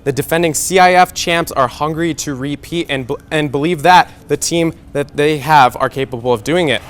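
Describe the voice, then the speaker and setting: A man's voice: on the high side, normal speed, a little hiss or hum. A young man anchoring a scripted TV sports newscast. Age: young adult.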